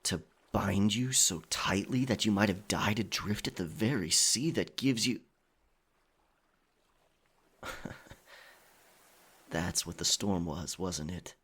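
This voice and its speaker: Guy doing a gallant pirate captain for asmr roleplay, raspy breathy voice, really slow n dramatic, wary but charming. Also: sits low, exaggerated voice. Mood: grave realization. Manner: slow, dramatic, hushed at times. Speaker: audio roleplay performer.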